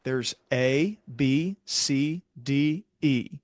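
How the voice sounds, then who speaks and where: A man speaking as a medical provider and interview host, explaining his views in an earnest, measured, conversational way. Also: medium pitch, dry recording, studio quality. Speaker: psychiatric provider and podcast host.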